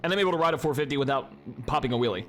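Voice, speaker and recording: A young man with a stuffy, congested voice talks loosely over his gameplay, tripping over his words and swearing now and then. His pitch sits in an ordinary middle range. Faint game noise hums behind him. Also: racing. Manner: rambling, many fillers and restarts, relaxed swearing. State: congested, sniffling. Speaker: gaming youtuber. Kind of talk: casual commentary over replays.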